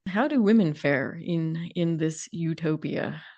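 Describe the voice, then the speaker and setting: A woman's voice: sits low, measured pace, darkish tone. A woman asking questions and commenting in a casual conversational style, on a slightly dull recording.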